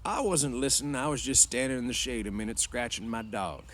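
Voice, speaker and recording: A man's voice: medium pitch, brisk, a bit bright, slight background noise, voice pushed up, natural voice. A man voicing a meek, uneasy old ranch swamper in a storybook read-aloud, talking in a folksy, placating way. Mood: defensive, uneasy.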